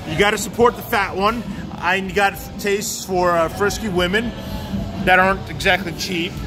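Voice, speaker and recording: A man's voice: high voice, steady tone, normal speed, rough recording. A man with a New York accent hosting a casual, joking interview, brash and crude, in a large busy restaurant. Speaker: vlog host. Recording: loud background music.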